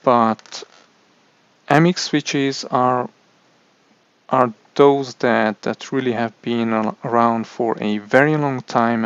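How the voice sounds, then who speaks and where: A man with a Hungarian accent, a native Hungarian speaker, explaining a product in a halting way with many restarts. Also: medium pitch, clean tone, muffled recording. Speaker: tech reviewer. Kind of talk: casual explainer monologue.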